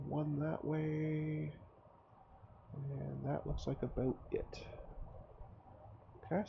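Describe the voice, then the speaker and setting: A man with a cold, his throat sore and his voice raspy, casually commenting on his own game as he builds and thinks aloud. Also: mid-range voice, warm tone, dull audio, slight background noise. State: hoarse from a cold. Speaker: gameplay commentator. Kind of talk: casual let's-play commentary.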